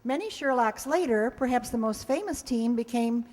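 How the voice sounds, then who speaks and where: A woman's voice: a little high, lively intonation, moderate pace, darkish tone. A middle-aged woman introducing a live show from a script, folksy and lightly joking. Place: hall stage before an audience. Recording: reverberant hall, live stage recording.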